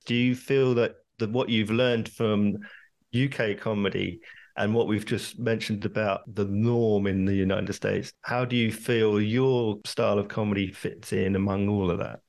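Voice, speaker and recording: A man hosting a podcast interview, asking questions hesitantly with many pauses and fillers. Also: fairly low pitch, fairly even intonation, gravelly, a little mellow. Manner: hesitant, many "um"s, laughs along.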